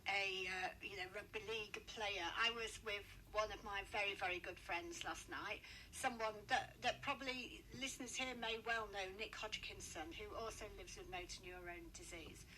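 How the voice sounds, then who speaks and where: A woman's voice: medium pitch, normal speed, few pauses, pushed and bright, rough recording. A woman hosting a local radio interview in a chatty, effusive way, often repeating words and affirming. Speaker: radio host. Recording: radio interview with faint background noise.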